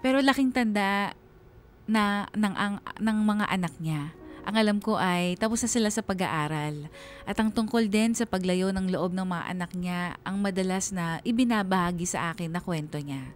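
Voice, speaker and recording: A woman's voice: mid-range voice, normal speed, natural voice. Woman playing a single mom telling her story, reflective radio drama narration, light music behind. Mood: fond, reflective. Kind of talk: dramatized first-person narration.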